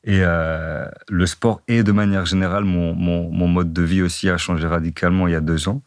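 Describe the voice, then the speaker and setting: A young man from northern France with a deep, low voice talks through an interview in a loose, easygoing way. He repeats himself and leans on fillers. The sound is dry and close. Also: steady tone, brisk, no room sound. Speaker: dating-profile guest. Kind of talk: casual interview chat.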